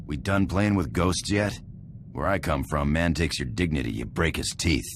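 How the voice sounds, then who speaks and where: A man's voice: rumbling low, normal speed, noisy background. A man voicing a tough, roguish space pirate in a video game cutscene, with a laid-back, streetwise manner. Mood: gruff impatience. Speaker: pirate companion.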